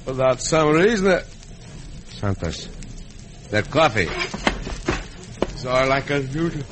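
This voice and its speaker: Clear noise of an old radio show surrounds a drowsy Mexican cowboy hero. He is courteous yet suspicious, his medium voice rising and sagging widely with sleepiness. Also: mid-range voice, sing-song, slow pace. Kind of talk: 1940s-1950s radio acting. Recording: noisy old radio drama recording.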